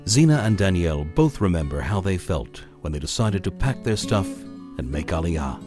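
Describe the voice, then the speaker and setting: Low-voiced male documentary narrator. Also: very animated, moderate pace, music in the background. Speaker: narrator and announcer.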